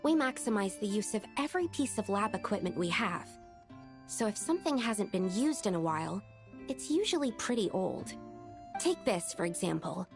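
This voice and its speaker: Game role: diligent young female alchemy assistant, polite and earnest, expressive intonation, music underneath. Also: mid-range voice, moderate pace. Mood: earnest, explanatory. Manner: polite, explanatory. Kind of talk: scripted video game dialogue.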